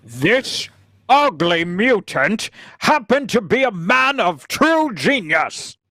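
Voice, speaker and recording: Man acting anguished male idealist, high voice, sweeping intonation, impassioned, slow, radio drama. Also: big pitch swings, pushed and bright, no echo, clean studio sound, exaggerated voice. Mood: bitter. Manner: impassioned, dramatic, drawn-out delivery. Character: earnest, anguished male idealist pleading for peace. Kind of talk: radio play cold read, theatrical.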